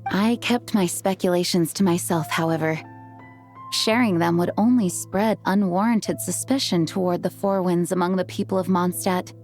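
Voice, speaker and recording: A woman voicing a composed adult female knight commander in a game, speaking in a measured, formal, earnest way. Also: lowish voice, darkish tone, soft background music, lightly acted. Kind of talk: game voice acting.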